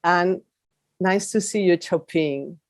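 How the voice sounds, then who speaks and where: A woman speaking hesitantly on a panel, halting with fillers. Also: fairly low pitch, darkish tone, slight background noise. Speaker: panel participant. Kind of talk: panel discussion.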